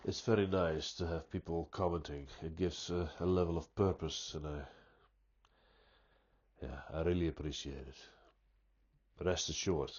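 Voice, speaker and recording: A man with a stuffy nose giving an unscripted, reflective monologue, speaking deliberately and often restarting his sentences. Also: low-pitched, fairly even intonation, a little mellow, muffled recording. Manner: slow, deliberate, thoughtful, with frequent restarts. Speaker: pipe-smoking vlogger.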